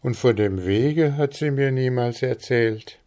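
A man's voice: medium pitch, measured pace, warm tone, voice pushed up, close to an everyday voice. A man voicing a motherly peasant widow in a storybook reading, earnest and instructing. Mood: earnest concern. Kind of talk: fairy-tale storybook reading.

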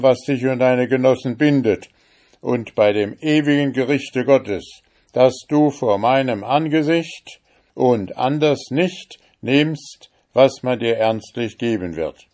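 A man's voice: mid-range voice, pitched above the performer's own voice. A man voicing a rich, wicked judge who blusters and threatens in a storybook reading. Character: rich wicked male judge, overbearing and arrogant.

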